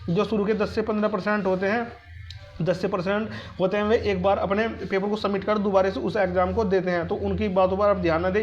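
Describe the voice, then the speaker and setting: Fast, high-pitched man. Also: slight background noise. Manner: repetitive, emphatic with self-posed questions. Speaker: host of an exam-preparation advice channel. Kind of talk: informal advisory talk to viewers. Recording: dull, lo-fi sound.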